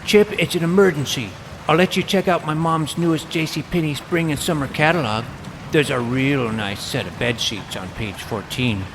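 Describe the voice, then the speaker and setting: An earnest twelve-year-old boy vampire hunter , put on by a male narrator, pitched up from a grown man's talk. He sounds officious and bossy, as in an audio drama. Clear noise sits behind the voice. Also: normal speed. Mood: urgent, bossy. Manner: earnest, officious, radio-operator phrasing. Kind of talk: scripted audio drama acting.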